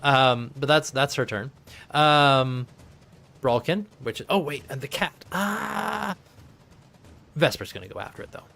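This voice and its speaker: A man's voice: mid-range voice, expressive, unhurried, vocal fry, pauses often, sharp tone. A man acting as game master in a live tabletop game, narrating turns in a chatty way and thinking rules through aloud. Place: home streaming setup.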